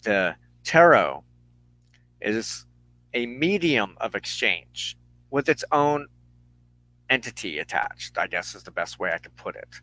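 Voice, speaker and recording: A man hosting an informal broadcast, giving announcements to followers in a halting way with pauses mid-phrase. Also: fairly low pitch, sing-song, sharp tone, no room sound, low-quality recording. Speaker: broadcast host and tarot reader.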